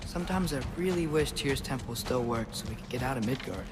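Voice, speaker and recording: A young actor playing an earnest teenage warrior's son, inquisitive and candid. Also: male voice, medium pitch, expressive, fairly quick, natural voice. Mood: uneasy, questioning. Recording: clear background noise behind voice.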